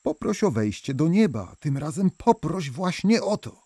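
A gentle, insistent saint from a storybook voiced by a man. He coaxes in a hushed, husky voice, recorded dry. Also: a little high, big pitch swings, moderate pace, no room sound, voice pushed up, exaggerated voice. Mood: coaxing. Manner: hushed, coaxing. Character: persuasive, gentle, insistent Saint Peter, male.

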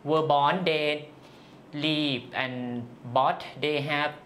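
An interview guest from northeast Thailand with a Thai accent, giving personal answers in halting, simple non-native English. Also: mid-range voice, fairly even intonation, a bit bright, a little hiss or hum.

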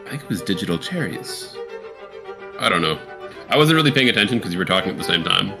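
A man's voice: low voice, very animated, quick pace. A man joining a stream as co-host, chatting casually about what he watches, with music behind. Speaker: co-streamer guest. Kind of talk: casual reaction chat. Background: game showcase music.

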